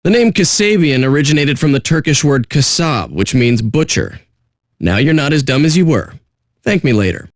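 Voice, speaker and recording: An actor playing a surly, sarcastic radio DJ in a video game, with deadpan, contemptuous patter. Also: male voice, fairly high pitch, moderate pace, no room sound, studio quality. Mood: deadpan, smug.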